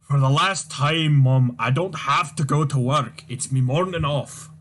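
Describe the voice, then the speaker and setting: A man reading a comic aloud, voicing a gruff Scottish demolitions man with a low burr. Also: measured pace, noisy background, lightly acted. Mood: weary.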